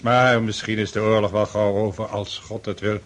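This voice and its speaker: An actor in a radio drama playing a fond, faltering older count, an indulgent father with a husky voice. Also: on the low side, flat delivery, normal speed, natural voice. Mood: jovial.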